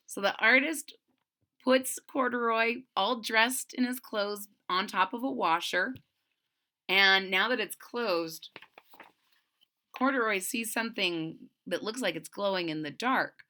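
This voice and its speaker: Slow, bright-voiced woman reading to children. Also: mid-range voice. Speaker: storybook reader. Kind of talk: read-aloud for children, with simple retelling.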